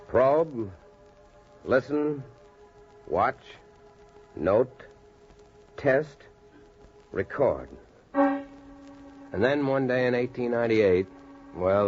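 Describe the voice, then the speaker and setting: A muffled 1940s radio recording with faint music behind an earnest, determined American doctor. His voice is low and measured, with a storyteller's delivery. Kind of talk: 1940s radio drama narration and acting.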